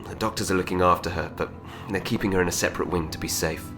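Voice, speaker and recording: Casting: actor for steadfast sci-fi aide, male, deep register, authoritative and grave, audio drama with a clear music bed. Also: steady tone, fast, natural voice. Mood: grave, consoling. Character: urgent, steadfast male officer and aide to a fallen queen. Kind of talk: full-cast sci-fi audio drama acting.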